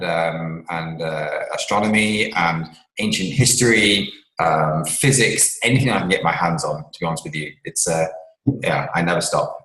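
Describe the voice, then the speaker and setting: A man's voice: sits low, a bit bright, reverberant, rough recording. A man from England, an author and scientist, answering interview questions at length with many ums and restarts.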